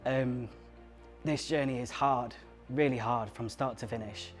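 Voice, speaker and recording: Earnest man with a flat delivery. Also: mid-range voice, soft background music. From Surrey, England. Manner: earnest, halting, moved. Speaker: interviewee. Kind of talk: emotional personal testimony.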